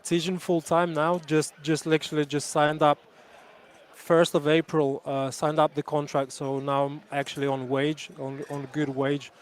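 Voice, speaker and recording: A man's voice: fairly high pitch, level tone, moderate pace. A man in his thirties, a jiu-jitsu coach, answering interview questions earnestly and reflectively, with frequent restarts. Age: 30s.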